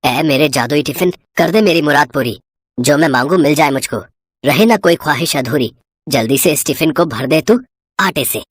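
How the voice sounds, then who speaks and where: A man voicing a poor, hardworking village miller in a cartoon story, husky and dramatically exclaiming. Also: fairly high pitch, normal speed, no echo, studio quality, natural voice. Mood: chanting.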